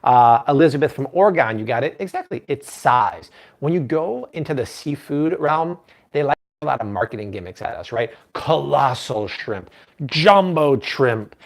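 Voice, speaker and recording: A man in his thirties talks viewers through a recipe on a live cooking stream in his home kitchen. His voice sits at a middle pitch for a man. He is upbeat and chatty, and he often stops to answer viewer comments. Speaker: cooking show host.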